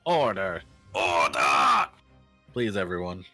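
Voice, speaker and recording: Man acting as stern older male judge, grave, declamatory, deep-ish, forceful tone, game music underneath. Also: very animated, slow pace, no room sound, exaggerated voice. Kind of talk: amateur fan dub of a courtroom video game.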